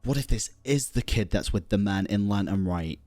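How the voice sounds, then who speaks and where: A man's voice: fairly low pitch, expressive, moderate pace, darkish tone, soft background music. A man giving casual, slangy live commentary as a streamer reacting to a game, with offhand interjections.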